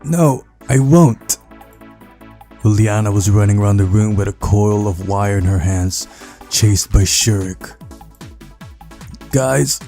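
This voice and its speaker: A man reading a visual novel aloud as narrator, in a measured storytelling manner. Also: on the low side, completely flat delivery, mellow, faint music behind. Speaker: playthrough narrator.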